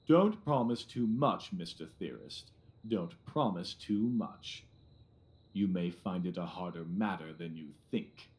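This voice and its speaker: A man's voice: low voice, expressive, a little slow, darkish tone. A man playing a pompous, blustering Victorian police inspector in an audio drama, smug, officious and self-satisfied.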